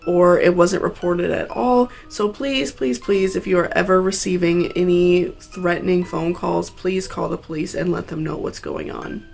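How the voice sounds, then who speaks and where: A woman tells a true crime story as its host, moving between scripted narration and personal asides. Her voice sits at a medium pitch with a clearly creaky edge. She sounds earnest and cautionary, over a soft bed of music.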